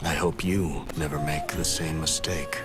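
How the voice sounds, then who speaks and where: An actor playing a world-weary, reflective master in a Japanese fantasy video game, speaking solemnly and poetically. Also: male voice, very deep voice, fairly even intonation, measured pace, over loud music. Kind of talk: video game character acting.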